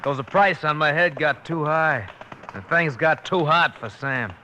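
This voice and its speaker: An actor playing a wry, unrepentant captured gunslinger in an old radio western, folksy and sardonic. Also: male voice, a little high, unhurried, sharp tone. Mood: grim, defiant. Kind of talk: 1950s radio western acting. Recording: slightly noisy old radio recording.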